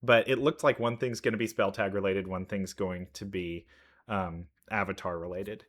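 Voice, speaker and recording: A man's voice: low-pitched, steady tone, moderate pace. A man giving casual game reaction commentary, musing and thinking aloud as he goes. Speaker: reaction video host.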